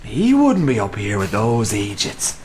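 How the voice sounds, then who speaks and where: Man acting a scornful Irish soldier, lively pitch. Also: measured pace, a little hiss or hum. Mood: scornful, intent. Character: scornful Irish soldier fighting against the rebels. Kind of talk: radio play acting.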